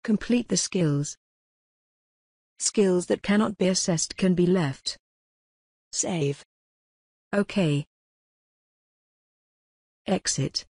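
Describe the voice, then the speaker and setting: A woman reading scripted tutorial narration in a measured, impersonal, step-by-step way. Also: lowish voice, warm tone, breathy edge, no echo, clean studio sound.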